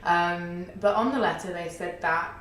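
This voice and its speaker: A young woman with a husky, low voice, chatting as a podcast guest and rambling through stories with frequent laughs. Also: unhurried, fairly bright tone. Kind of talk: casual interview chat. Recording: echoey room, distant mic, faint noise.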